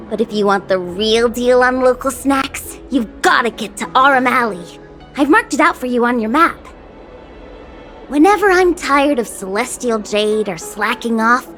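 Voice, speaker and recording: A young woman voicing a perky, chatty, slightly lazy young character in a video game, with a breezy colloquial delivery. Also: a little high. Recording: studio voice over faint game music. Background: soft game music.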